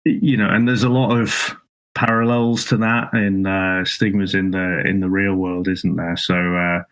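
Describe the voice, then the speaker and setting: A man's voice: low-pitched, little pitch movement, unhurried, fairly bright tone. A man co-hosting a casual film review podcast, talking in a rambling way with frequent restarts and chuckles. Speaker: podcast co-host.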